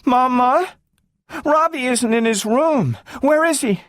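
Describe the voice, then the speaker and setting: Man voicing a little girl, shrill and squeaky, pleading, swooping wildly, dry storybook reading. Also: sing-song, unhurried, fairly bright tone, no echo, exaggerated voice. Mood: tearful. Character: excited little girl, eager and tearful.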